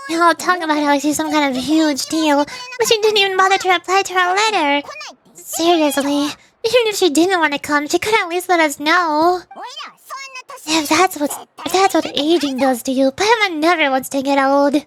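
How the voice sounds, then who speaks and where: Woman plays tiny female fairy sidekick for a video game: very high, excitable, blunt, indignant. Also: normal speed, noisy background, natural voice. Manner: speaks of herself in third person, exclaiming.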